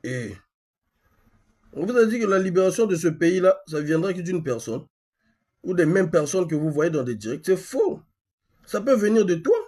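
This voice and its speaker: A man from Congo with a Congolese accent and a husky voice, speaking insistently as a live-stream host, repeating phrases and asking rhetorical questions. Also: sits high, very animated, normal speed, long pauses, a little mellow. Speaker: online activist host. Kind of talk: live-stream monologue in colloquial speech, with a phone call.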